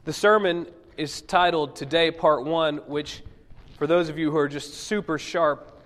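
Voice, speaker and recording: A male pastor delivers a conversational sermon while fighting off a cold, his naturally higher voice pushed down into a husky rasp. He keeps things loose with jokes and friendly asides to the congregation. The sound carries a long reverb from the church sanctuary. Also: fairly even intonation, measured pace, fairly bright tone. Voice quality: lowered, husky voice from illness. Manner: joking, chatty asides to the congregation. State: sick with a cold.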